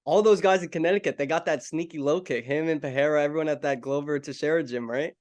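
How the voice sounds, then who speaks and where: Young interviewer with a higher voice. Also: male voice, fast talker, a little mellow. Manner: friendly, calls guest "bro" and "man". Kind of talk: casual interview.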